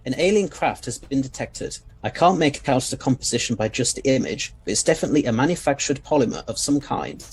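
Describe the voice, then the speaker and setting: A man acting an eccentric, assured alien scientist in an audio drama. His voice is mid-pitched and his phrasing is courteous and old-fashioned. Clear background noise runs under him. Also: expressive, fairly quick, few pauses, natural voice. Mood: brisk, urgent.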